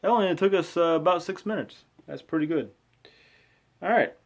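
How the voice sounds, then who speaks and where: A man in his thirties reading aloud and commenting casually, with an informal, halting delivery and frequent self-corrections. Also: sits high. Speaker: vlogger reading and commenting on scripture. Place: small room at home. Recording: clearly noisy amateur home recording.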